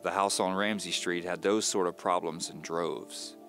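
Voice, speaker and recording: A brooding, wry divorced man telling his story onto tape in a low voice. He is measured and confiding, in the manner of an audio drama monologue, with faint music underneath. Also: steady tone, fairly bright tone. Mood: reflective.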